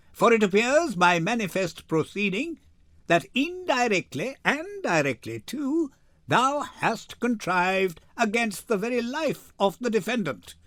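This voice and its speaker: Guy doing young woman posing as a stern judge, really high voice, slow declamatory shakespeare, swoops around. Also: fairly bright tone, dry recording, clean studio sound, heavily acted. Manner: measured, declamatory, emphatic. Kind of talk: dramatic Shakespeare reading.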